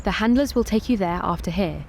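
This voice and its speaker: A woman playing a guarded, commanding queen in a tabletop roleplay, speaking in a formal, measured way. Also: mid-range voice, lively intonation, a little mellow, a little hiss or hum, natural voice. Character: composed, guarded, commanding young queen wary of rivals. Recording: music playing behind.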